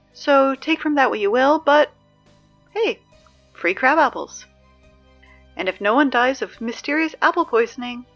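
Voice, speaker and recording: A woman playing a chatty, exasperated high school morning announcer in a scripted audio drama, conversational and wry with hesitant asides. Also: a bit bright, highs cut off.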